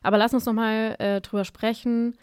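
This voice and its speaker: A woman's voice: on the high side, little pitch movement, moderate pace, a little mellow, smooth, dry recording. A woman interviewing a guest in casual chat, asking follow-up questions. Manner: prompting, short backchannels.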